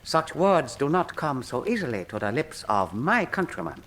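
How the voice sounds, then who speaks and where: An actor playing a solemn, proud Chinese conspirator in a radio drama, speaking in formal, sententious phrases. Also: male voice, medium pitch, very animated, normal speed, a bit bright.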